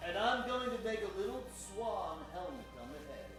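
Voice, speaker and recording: An actor in improvised old-time radio comedy, playing a heroic, eccentric inventor doctor with grand, deliberate delivery. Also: mid-range voice, big pitch swings, natural voice. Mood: heroic, assured. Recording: echoey stage, distant mic, music behind.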